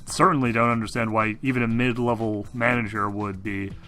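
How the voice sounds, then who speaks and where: Bright-voiced man running a tabletop game. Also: medium pitch, steady tone, fairly quick. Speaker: game master. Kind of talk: improvised narration of a tabletop game.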